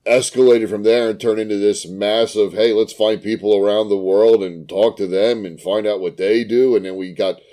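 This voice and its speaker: A man with a smoker's voice hosting a casual podcast chat, swearing freely and telling animated stories. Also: on the low side, moderate pace, hardly any pauses. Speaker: podcast host.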